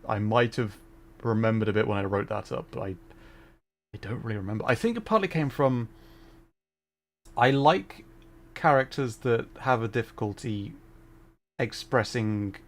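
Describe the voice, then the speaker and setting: A young man streaming a video game, chatting casually and rambling with plenty of hesitation. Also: medium pitch. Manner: rambling, hesitant, many fillers. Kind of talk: casual gaming livestream chat.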